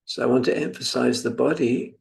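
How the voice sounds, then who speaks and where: A British man in his seventies from England leading a guided meditation as a teacher, speaking in a reflective, gently instructive manner with pauses. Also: mid-range voice, creaky. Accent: British English. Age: 70s.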